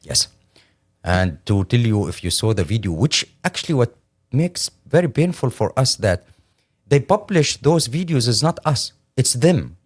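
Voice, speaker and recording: A middle-aged man with a Syrian Arabic accent gives an earnest first-hand account as an interview guest. His medium-pitched voice moves up and down a lot, and his delivery is halting but emphatic, with words repeated for weight. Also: big pitch swings, breathy edge. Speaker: interview guest, lawyer and humanitarian.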